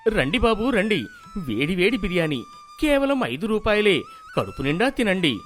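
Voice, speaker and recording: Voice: mid-range voice, sing-song, normal speed, soft background music, close to an everyday voice. A performer voicing an earnest, proud, poor village husband who cooks, speaking eagerly in a cartoon moral story. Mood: calling out.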